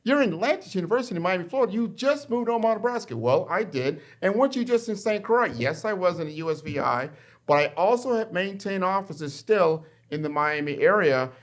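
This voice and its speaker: Lively middle-aged male podcast host. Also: sits high, fairly quick. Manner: energetic, conversational framing of questions. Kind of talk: podcast interview hosting. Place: university in Miami.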